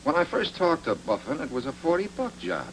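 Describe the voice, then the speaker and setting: A man playing a wisecracking, deadpan private detective in a film noir radio drama. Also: on the high side, brisk. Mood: urgent, sure. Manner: deadpan, sardonic. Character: wisecracking hard-boiled male private detective. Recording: noisy vintage radio recording.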